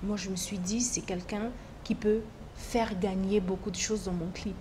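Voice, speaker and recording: A woman's voice: medium pitch, steady tone, moderate pace, noisy background. A woman singer, a native Bemba speaker, talking casually as a guest and repeating points for emphasis. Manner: emphatic, repeats herself for stress. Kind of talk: casual interview talk.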